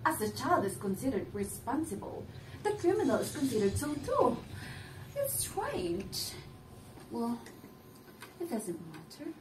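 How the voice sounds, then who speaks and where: A young woman playing a cool, confident woman with a criminal secret on stage, speaking deliberately and emphatically. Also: fairly high pitch, wildly swooping pitch. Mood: sly confiding. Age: young adult. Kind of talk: theatrical stage acting. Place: indoor living-room stage set. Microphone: far camera. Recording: echoey room, distant mic, background noise.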